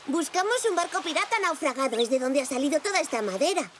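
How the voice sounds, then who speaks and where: A performer voicing a curious, imaginative five-year-old boy zebra in an animated children's series, eager and childlike. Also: sits high, fast talker, slight background noise. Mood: curious, excited. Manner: eager, wide-eyed, childlike.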